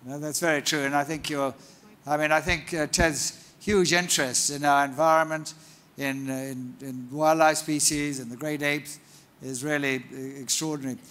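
A man's voice: a little high, fairly even intonation, moderate pace, fairly bright tone. A man interviewing a guest on a large conference stage, asking prompting questions and laughing along, recorded on a lapel mic. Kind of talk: onstage interview.

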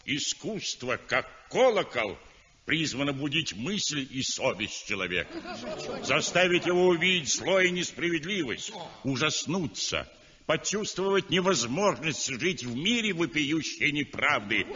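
A fervent idealist declaims in an old radio play. His highish voice moves in wide, lively sweeps, slow and emphatic, in a muffled hall recording. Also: exaggerated voice. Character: passionate, idealistic male champion of realist art. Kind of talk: 1960s Soviet radio drama acting.

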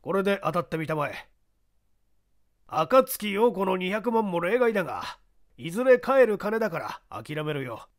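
Man acting a decisive, animated company president. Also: high voice, moderate pace, voice pushed up.

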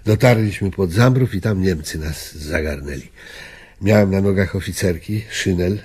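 An actor playing an elderly former Polish army officer in a radio drama, reminiscing wistfully in halting, reflective phrases. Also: male voice, on the low side, a little mellow, breathy edge. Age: older.

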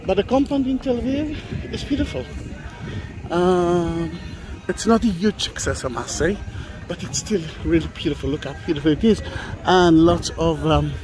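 A man's voice: high-pitched, moderate pace, rough recording. Man guiding a walking tour. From Israel. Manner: hesitant, many fillers and restarts, enthusiastic. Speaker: tour guide. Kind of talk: walking tour vlog, unscripted. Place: outdoor plaza of an old train station. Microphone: phone or camera carried while walking.

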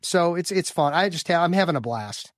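An upbeat man in his fifties from the Chicago area talks as a coach being interviewed. His voice sits on the higher side for a man, and he often doubles words as he builds to a point. The sound is dry and close, with no room echo. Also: animated, fairly quick. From Chicago area, US Midwest. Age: 50s. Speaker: guest, business development coach for lawyers. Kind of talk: casual interview answers.

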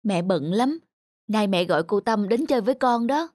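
A woman as a gentle, busy cartoon mother. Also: mid-range voice, animated, moderate pace, slight background noise, close to an everyday voice. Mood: caring. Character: busy, caring working mother of a young boy. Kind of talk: cartoon voice acting.

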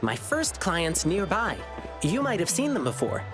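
A man's voice: high voice, huge pitch swings, unhurried, music in the background, exaggerated voice. An actor voicing a cheerful, eager young lion-eared boy performer in a video game, friendly and bubbly. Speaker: lion dance troupe performer.

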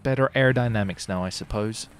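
A man's voice: fairly low pitch, fast talker, a little hiss or hum. A man doing casual commentary during an online roleplay game, given to swearing and exclamations. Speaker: gaming streamer.

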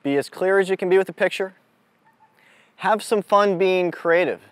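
A man speaking as a mental toughness coach, giving a deliberate, instructive motivational lesson to a group out in the open. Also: high voice, dry recording. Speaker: mental toughness coach leading a youth clinic. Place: outdoors on an amphitheater lawn.

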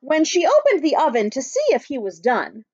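A woman in her thirties reading a picture book aloud to schoolchildren with expressive storytelling. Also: high-pitched, normal speed. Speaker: school staff reading to pupils. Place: small book-lined room at home. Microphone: webcam at arm's length. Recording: dull, lo-fi webcam sound.

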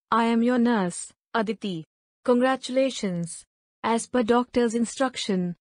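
A woman playing a polite young hospital nurse in a scripted demo. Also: on the high side. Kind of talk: scripted demo role-play.

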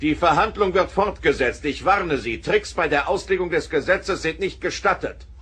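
An actor playing an imperious, mocking alien judge with theatrical, commanding manner in a science-fiction radio play. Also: male voice, a little high, normal speed, a bit bright, slight background noise, close to an everyday voice. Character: imperious, mocking all-powerful alien judge in male form.

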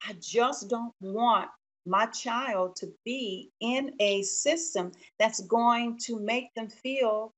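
A woman's voice: a little high, slow pace. A woman speaking earnestly in an interview.

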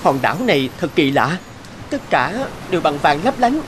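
A man playing an earnest young merchant's son in an animated fairy tale, speaking expressively. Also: on the high side, moderate pace, noisy background. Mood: wondering. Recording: music behind the voice.

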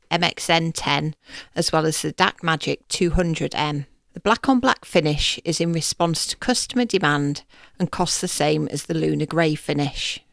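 A woman reads scripted news in a low voice. There is a noticeable creak in it, and she delivers it as a voice-over narration. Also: a bit bright, no room sound, studio quality. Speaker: news reader.

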